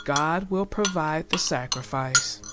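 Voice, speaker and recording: A woman voicing God in a Bible storybook, a solemn, commanding male deity speaking deliberately. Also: deep voice, very noisy, voice pushed down. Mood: grave.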